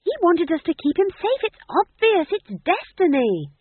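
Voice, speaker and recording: A man voicing a breathy, romantic young witch who gushes about destiny. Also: extremely high pitch, big pitch swings, moderate pace, narrow, tinny audio, slight background noise, voice pushed up. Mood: excited.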